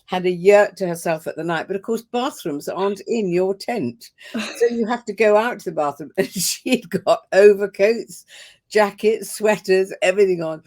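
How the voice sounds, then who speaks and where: An older woman hosts a leadership webinar over a video call. She tells her stories in a relaxed, anecdotal way and laughs often. Her voice sits in the middle of the range. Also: mid-range voice, moderate pace. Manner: warm storytelling with frequent laughs. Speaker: host. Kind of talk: webinar conversation.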